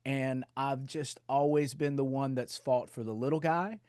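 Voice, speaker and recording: A middle-aged man from North Carolina with a Southern accent, a rock singer chatting loudly and laughing often as an interview guest on a video call. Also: mid-range voice, a little slow, smooth, no room sound, clean studio sound. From North Carolina, USA. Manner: loud, animated, laughs often. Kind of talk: casual interview chat.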